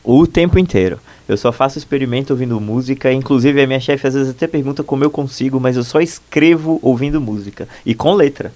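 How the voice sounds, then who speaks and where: A man's voice: mid-range voice, fast talker, hardly any pauses, mellow. A man in his thirties from Bahia, Brazil, a postdoctoral researcher answering interview questions in a fluent, explanatory and enthusiastic way.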